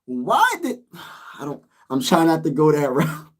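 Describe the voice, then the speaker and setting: A man's voice: a little high, sing-song, unhurried. A man losing his voice, ranting emphatically as a sports vlogger in a casual, frustrated way.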